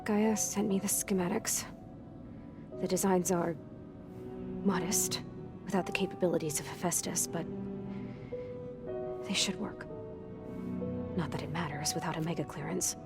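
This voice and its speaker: A woman's voice: on the low side, steady tone, measured pace, long pauses. A woman playing a glum, guarded young scientist in a video game, talking in a downbeat, reserved way. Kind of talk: video game cutscene acting. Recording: very loud game music over voice.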